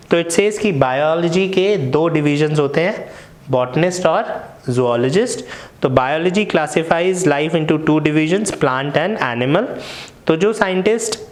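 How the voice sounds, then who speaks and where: Indian man teaching vocabulary, echoey room. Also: on the high side. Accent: Indian English. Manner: explains patiently, asks rhetorical questions and checks in with "right?". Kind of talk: explanatory lesson mixing Hindi and English.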